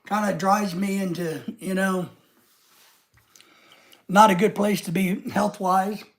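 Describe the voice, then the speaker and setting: A man hosting a casual live stream with a head cold, rambling and reading out viewer comments. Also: sits high.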